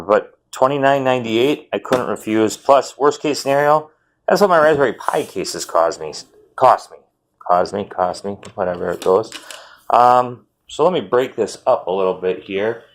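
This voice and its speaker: A man, chatting casually and rambling about a game haul with lots of ums and self-corrections; he says his voice runs fairly high. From Wisconsin, US. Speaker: retro game collector vlogger.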